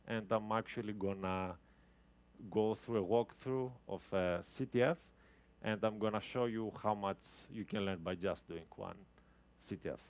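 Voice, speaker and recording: A man's voice: medium pitch, smooth. Man giving a tech talk. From Greece. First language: Greek. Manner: casual, explanatory, with frequent restarts. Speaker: guest speaker. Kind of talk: tech talk to a live audience. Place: talk venue with a live audience.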